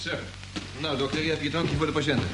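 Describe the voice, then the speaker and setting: A man's voice: on the high side, fairly even intonation, fast, music in the background, lightly acted, poor audio. A man playing an eager, inquisitive spaceship crewman who talks informally and questions a lot, in a vintage Dutch radio drama. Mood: busy, helpful.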